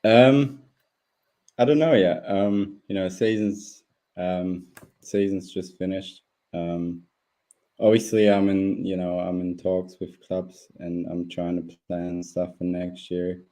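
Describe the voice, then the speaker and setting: Deep-voiced German-native man, rambling. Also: fairly even intonation, slow pace, dark tone. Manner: rambling, full of fillers and restarts. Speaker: guest speedway rider. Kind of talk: casual live interview.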